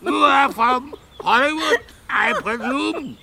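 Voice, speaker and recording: An actor playing a suave, commanding Hollywood film director with a courtly, theatrical manner in a radio drama. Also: male voice, very high voice, slow, gravelly, heavy background noise, heavily acted. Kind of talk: radio drama acting in English.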